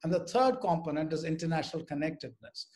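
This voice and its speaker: A man's voice: on the high side, animated, fast, darkish tone. A man speaking as a panelist on a video call, reflecting on ideas in a discussion.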